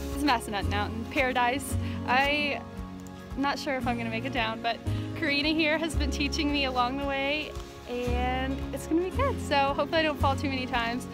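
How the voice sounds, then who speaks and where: A woman's voice: high voice, moderate pace, loud music behind the voice. A young American woman in her twenties chatting casually and animatedly to camera as a vlogger. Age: 20s. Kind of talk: casual vlog chat to camera. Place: various: car interior, home, outdoor ski slope at night. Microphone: handheld camera at arm's length.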